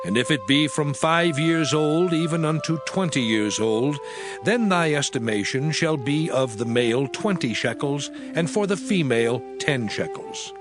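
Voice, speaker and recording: A man performs a stern male deity in a dramatized scripture recording. The voice sits a little high for a man and declaims solemnly in measured, archaic phrases. Music plays clearly behind it. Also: expressive. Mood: solemn, instructing.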